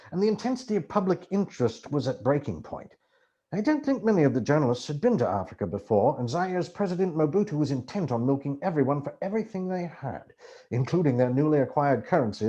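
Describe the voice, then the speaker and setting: Highly animated male audiobook narrator. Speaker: amateur audiobook reader. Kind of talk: expressive first-person literary narration.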